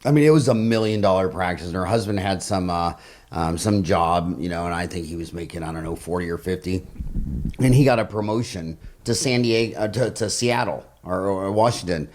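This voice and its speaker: A man hosting a conversational podcast interview at home, speaking haltingly, repeating phrases and telling anecdotes. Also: low-pitched, darkish tone. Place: dining room at home.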